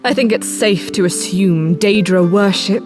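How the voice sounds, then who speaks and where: A woman's voice: medium pitch, measured pace, over music, lightly acted. Woman playing a wry, suspicious adventuring companion. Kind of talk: fantasy video game acting.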